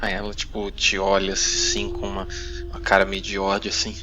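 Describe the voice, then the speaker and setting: A man with a Brazilian accent and a fairly deep voice narrates a tabletop game. He improvises casually, hesitating and using colloquial tags, while music plays clearly behind him. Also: monotone, fairly quick, poor audio. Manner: hesitant, colloquial, full of tag words. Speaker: game master. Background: music bed.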